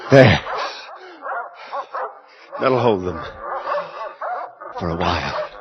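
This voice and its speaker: Unhinged, slow-speaking scientist. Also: male voice, deep voice, animated, muffled recording, over a noisy crowd, exaggerated voice. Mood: despairing. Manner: theatrical, brooding, deliberate. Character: unhinged, tormented, menacing male research scientist. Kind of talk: horror radio drama acting.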